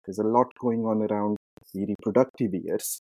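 A man from India, a clinician answering interview questions in a measured way, with frequent ums and uhs. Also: on the low side, steady tone, warm tone, no room sound, rough recording. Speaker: clinician guest.

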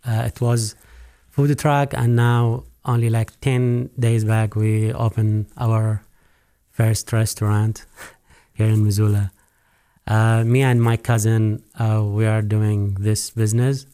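A man's voice: lowish voice, little pitch movement, slow pace, dark tone, smooth. A man from Iraq, a restaurant co-owner, answering interview questions hesitantly with many fillers.

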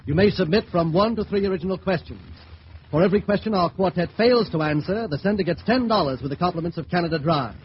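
A man's voice: sits high, quick pace. A man announcing a vintage radio program in a polished, declamatory sales style. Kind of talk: 1930s-40s radio announcing. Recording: noisy, dull vintage radio recording.

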